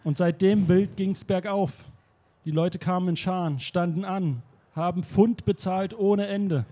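Ein Mann spielt einen aufgeregten, stockenden Museumsdirektor in einem improvisierten Hörspiel. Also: male voice, high-pitched, unhurried, mellow. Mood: fussy. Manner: hesitant, stammering, fussy. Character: flustered male museum director, older. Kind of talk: improvised radio play.

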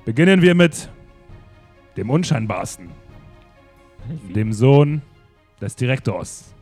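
A man's voice: medium pitch, very animated, takes it slowly, darkish tone, soft background music, pitched above the performer's own voice, heavily acted. A man playing a pompous detective in an improvised radio play, declaiming with theatrical emphasis. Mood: grandiose. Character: pompous theatrical male detective, grand speeches. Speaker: improv performer.

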